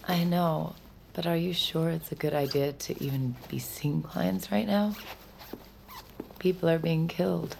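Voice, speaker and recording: A woman's voice: sits low, unhurried, creaky, darkish tone, a little hiss or hum, exaggerated voice. An actress playing a caring, anxious young girlfriend in a naturalistic, intimate audio drama, tender and tentative. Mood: worried, pleading.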